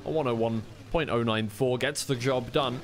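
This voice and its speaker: Man commentating, over clear background noise. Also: medium pitch, steady tone, moderate pace. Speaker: esports commentator. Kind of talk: live play-by-play commentary of a racing game match.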